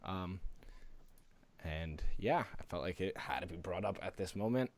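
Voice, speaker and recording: An American man co-hosting a podcast, chatting casually and haltingly, often repeating himself. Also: low voice, lively intonation, dry recording. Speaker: podcast co-host. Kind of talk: casual podcast chat about news and games.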